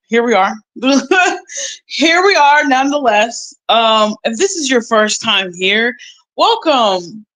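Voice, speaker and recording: A young adult woman, chatting casually on a livestream, recovering from strep throat, drawing words out with slang and loud bursts. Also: medium pitch, poor audio. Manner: slow, drawn-out talk with loud bursts and slang. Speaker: YouTube streamer.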